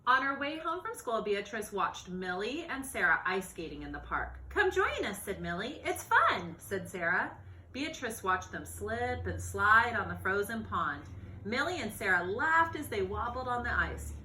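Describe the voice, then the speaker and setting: A woman reading a children's storybook aloud in an expressive, animated narrating style. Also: medium pitch, normal speed, slight background noise. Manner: expressive, acts out dialogue and exclamations. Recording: roomy, echoey sound.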